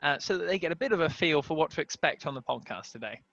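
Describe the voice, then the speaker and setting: Bright, upbeat podcast host man. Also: fairly high pitch, animated, fast, gravelly, no echo. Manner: upbeat, enthusiastic, lots of superlatives. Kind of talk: casual interview hosting. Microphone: headset. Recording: dry, dull lo-fi sound.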